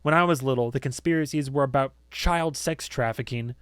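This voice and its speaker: A man's voice: mid-range voice, fairly quick, smooth, dry recording, natural voice. A man plays an outspoken young woman in an audiobook. The delivery is casual, blunt and wryly exasperated, in a dry recording. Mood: exasperated, sarcastic. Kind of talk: audiobook character acting.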